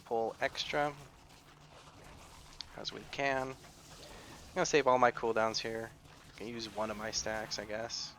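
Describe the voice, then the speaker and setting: Bright-voiced American man chatting over gameplay. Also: on the low side, flat delivery, a little slow, pauses often, a little hiss or hum. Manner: chatty, rambling, self-correcting. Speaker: gaming YouTuber. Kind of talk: casual gameplay commentary.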